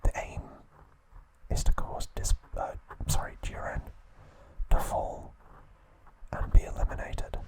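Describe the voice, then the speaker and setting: A man whispering a calm ASMR recap, narrating softly with occasional fillers and self-corrections. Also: rough recording. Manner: soft, slow, unvoiced whispering close to the mic. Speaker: ASMR channel host.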